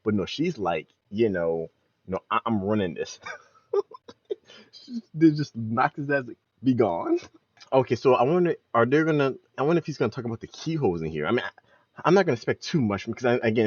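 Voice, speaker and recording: A young man giving casual, slangy reaction commentary, playful and expressive, close to the microphone. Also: mid-range voice, fast talker, breathy edge, dry recording, highs cut off. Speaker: reaction video host.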